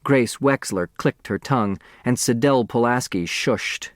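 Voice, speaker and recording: A man narrating a scripted story as an audiobook reader, expressive and precise. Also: mid-range voice, a little slow, no room sound, studio quality.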